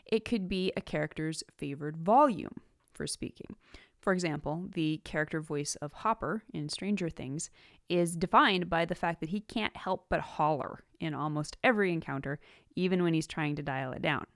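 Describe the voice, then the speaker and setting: Woman podcaster reading out tips, smooth clear voice, kinda low, very measured and articulate. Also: no room sound, studio quality. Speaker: writing podcast host and author. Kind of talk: scripted instructional narration.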